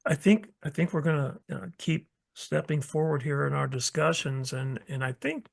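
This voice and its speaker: A male podcast host guiding an interview in a measured way, often repeating his words as he gently prompts his guest. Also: on the high side, vocal fry. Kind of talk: interview hosting.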